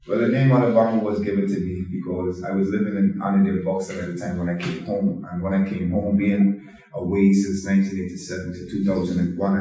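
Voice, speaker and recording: A middle-aged man, a dancehall artist, telling his story in a calm, measured way and often adding "you know". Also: sits low. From Guyana. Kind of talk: reflective interview storytelling. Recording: echoey room, mic far off.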